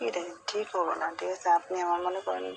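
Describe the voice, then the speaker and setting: A woman's voice: fairly low pitch, fairly even intonation, fairly quick, gravelly, slight background noise. A middle-aged woman, speaking hesitantly and pleadingly on a recorded phone call, often repeating herself. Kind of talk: private phone conversation.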